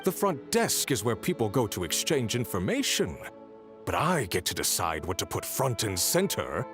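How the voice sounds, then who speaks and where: An actor playing an arrogant, resentful merchant villain in a video game, with a theatrical, haughty delivery. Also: male voice, fairly low pitch, big pitch swings, moderate pace. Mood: smug, menacing. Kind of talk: dramatic video game voice acting. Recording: game music behind.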